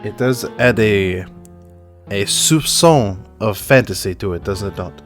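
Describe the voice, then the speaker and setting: A man's voice: on the low side, a little slow, soft background music, lightly acted. A man playing an earnest, naive, heartbroken young nobleman in a tabletop game, speaking in a formal, theatrical way. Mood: forlorn.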